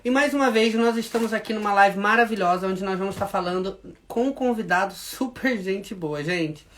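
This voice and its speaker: A Brazilian man hosts a live stream in a casual, animated way and speaks straight to his audience. His Brazilian Portuguese accent carries into his English. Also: sits high, normal speed. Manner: chatty, animated, addresses viewers as "gente". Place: home in the UK.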